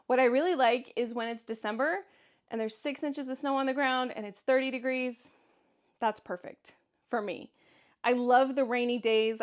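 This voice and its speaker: A woman vlogger with a distinctly high voice and a Kansas accent talks casually to her viewers. She is candid and conversational, leaning on words for emphasis as she gives her opinions. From Kansas, United States. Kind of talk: casual solo vlog commentary, answering viewer comments.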